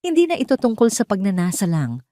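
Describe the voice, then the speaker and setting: A woman's voice: medium pitch, wildly swooping pitch, fast, warm tone, no room sound, studio quality. A woman reading scripted, dramatic narration for an audio story.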